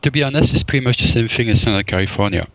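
A man's voice: mid-range voice, very fast, a bit bright, no echo. A man from Belgium, a forager and chef, answering interview questions thoughtfully, with many fillers and restarts. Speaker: forager and wild food chef, guest.

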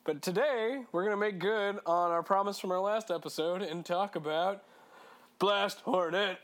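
A man's voice: high-pitched, normal speed, fairly bright tone. A young man co-hosting a casual podcast, a little sick and slightly nasal, joking and making puns. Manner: jokey, punning, laughing often. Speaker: podcast co-host.

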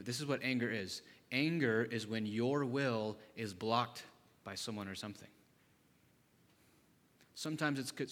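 Brief: male pastor, mid-pitched, conversational sermon, long hall reverb. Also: a bit bright. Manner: conversational, with rhetorical questions to the congregation.

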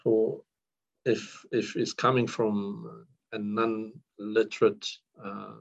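Man, middle-aged, low-pitched, halting with fillers, muffled lo-fi recording. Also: little pitch movement, vocal fry. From northern Iraq. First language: Kurdish. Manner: hesitant, many fillers and restarts. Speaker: counsellor. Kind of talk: podcast interview. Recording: dull lo-fi audio.

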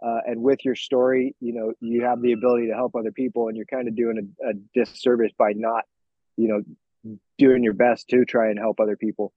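An American man in his forties speaks as a coach being interviewed, candid and reflective, choosing his words haltingly. His middle-pitched voice comes through dry, with a slightly dull, lo-fi sound. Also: level tone, husky, dry recording. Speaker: fitness coach, podcast guest. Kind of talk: informal interview, personal storytelling.

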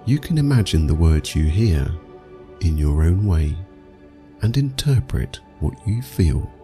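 A man narrating a scripted guided meditation for sleep, speaking soothingly and in measured phrases with long pauses. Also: deep voice, sing-song, warm tone, faint music behind.

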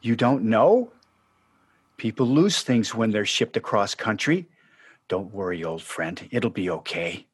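An actor playing an aggrieved, sentimental ex-husband and sports fan in a radio play, speaking plaintively. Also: male voice, lowish voice, lively intonation, a little slow, husky. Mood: hurt, subdued.

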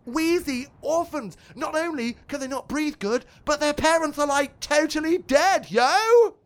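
A woman's voice: high-pitched, unhurried. A woman playing a cheerful, overly polite leader of a charity cycling club who talks in a gushing, apologetic way.

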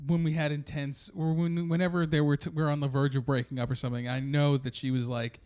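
A man's voice: on the high side, level tone, fast, a little mellow, smooth. Joking man chatting on a podcast. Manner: rambling, joking, lots of fillers. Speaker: podcast co-host. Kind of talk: casual chat with improv role play.

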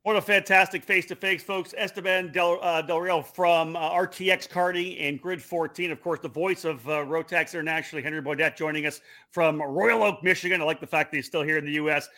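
A man's voice: on the high side, steady tone, bright, forceful tone. A man from Canada co-hosting an online racing talk show, upbeat and promotional. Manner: upbeat, quick promotional delivery. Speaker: co-host.

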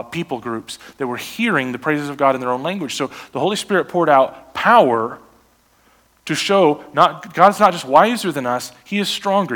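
Male pastor, sermon delivery, animated and questioning, medium pitch. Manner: animated, rhetorical questions to the congregation.

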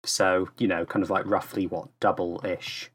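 A man's voice: deep voice, little pitch movement, unhurried, slightly rough. A man guesting on a podcast, explaining things in a loose, rambling, casual way. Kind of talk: casual chat.

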